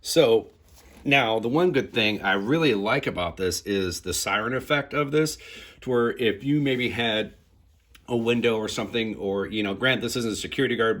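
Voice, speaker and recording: A man's voice: fairly low pitch, a bit bright. A man casually demonstrating and reviewing a product, explaining it step by step in an informal way.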